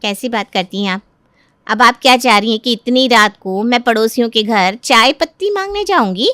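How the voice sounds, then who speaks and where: A woman's voice: fairly high pitch, lively intonation, normal speed, pushed and bright, clean tone, no echo, clean studio sound. A woman playing a defiant, crafty young daughter-in-law who argues and complains in a dramatized moral story. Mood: protesting. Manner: argumentative, protesting.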